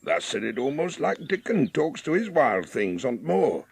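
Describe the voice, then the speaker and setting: An actor playing a gruff, lonely, sour old Yorkshire gardener in a dramatised audiobook, speaking blunt rustic dialect. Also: male voice, medium pitch, very animated, moderate pace, no room sound, full character voice. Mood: wry, gruff.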